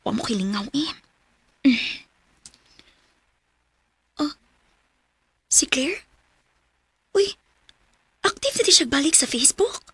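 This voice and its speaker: A woman's voice: high voice, big pitch swings, slow pace, pauses often, fairly bright tone, dry recording, heavily acted. A woman playing a heartbroken, wistful thirty-year-old single woman in a radio drama, with a Cebuano Filipino accent. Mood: curious, startled. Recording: music under the voice.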